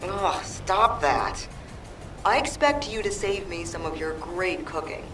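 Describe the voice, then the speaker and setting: A woman's voice: on the low side, slow pace. A woman voicing a caring, teasing mother of a grown son in a video game, heard echoey and distant over music. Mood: affectionate teasing.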